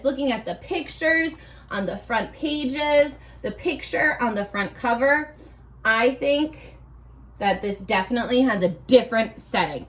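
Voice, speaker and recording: Woman, high voice, children's read-aloud teacher, animated, playful, question-and-answer delivery. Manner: asks questions and answers imagined replies. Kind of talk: children's read-aloud lesson, talking directly to young viewers.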